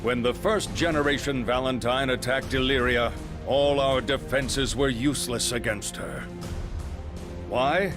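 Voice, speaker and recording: A man voicing an erudite, composed scientist in a video game, explaining things in a formal, measured, lecturing way. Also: mid-range voice, natural voice. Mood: measured, instructive. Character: calm, erudite male scientist lecturing on grave theories. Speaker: character in a video game story scene. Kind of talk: dramatic game voice acting, expository lecturing. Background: music.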